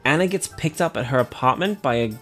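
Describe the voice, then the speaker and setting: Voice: medium pitch, expressive, brisk, over music. A film review co-host casually recapping a movie scene by scene in a wry, joking way.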